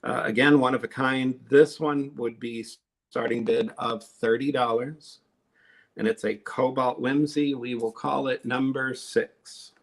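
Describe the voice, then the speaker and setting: A man's voice: medium pitch, steady tone, a little slow. A man on a live online sale, chatting casually as he shows and explains his glass pieces. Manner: chatty, explains techniques while showing pieces.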